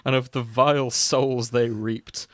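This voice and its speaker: A man's voice: medium pitch, fairly even intonation, a little slow, fairly bright tone, no echo, studio quality. A man hosting a comic fan fiction reading, narrating theatrically with amused, casual banter.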